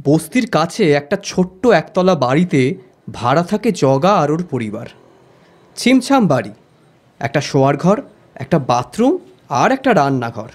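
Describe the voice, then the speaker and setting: A man's voice: fairly high pitch, sing-song, measured pace. A man narrating an audio story expressively in the first person, as the detective's friend. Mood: storytelling.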